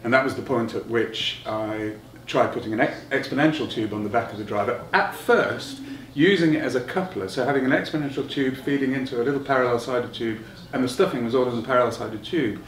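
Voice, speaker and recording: A middle-aged man giving a technical explanation as an interviewed designer, halting and thinking aloud as he goes. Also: medium pitch, fairly bright tone. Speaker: loudspeaker designer being interviewed. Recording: roomy, distant mic, noticeable background noise.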